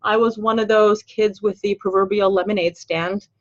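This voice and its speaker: A woman's voice: medium pitch, fairly even intonation, moderate pace, lo-fi sound. An older woman with an American accent who grew up in Los Angeles, hosting a webinar as a financial planner in a chatty, upbeat way with everyday fillers. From Los Angeles, USA. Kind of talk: webinar presentation.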